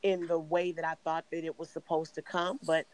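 Raspy chicago woman, guest on a podcast, pretty flat even voice, talks steady and earnest. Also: sits low, dry recording. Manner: measured, earnest storytelling. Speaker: interview guest, singer. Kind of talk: podcast interview.

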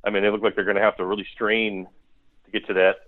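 A man's voice: lowish voice, flat delivery, quick pace. American man, blunt analyst on phone line. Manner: blunt, critical. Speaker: guest sports analyst. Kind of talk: football analysis in a podcast interview. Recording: tinny phone-line sound.

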